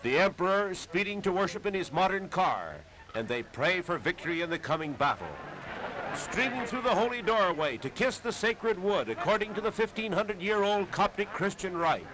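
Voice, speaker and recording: A man's voice: sits high, expressive, normal speed, background noise. An American man narrating a 1930s newsreel in a dramatic, declamatory manner on an old period soundtrack.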